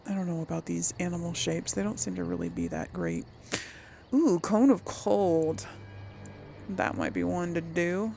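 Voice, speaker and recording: A woman's voice: lowish voice, lively intonation, measured pace, gravelly, mellow, slight background noise. A woman giving casual, chatty video game commentary, thinking aloud as she plays.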